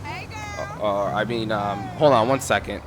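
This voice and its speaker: A man's voice: fairly low pitch, unhurried, noisy background. A man casually walking viewers through game mods in an informal, instructive way, addressing them as guys. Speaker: gaming tutorial creator.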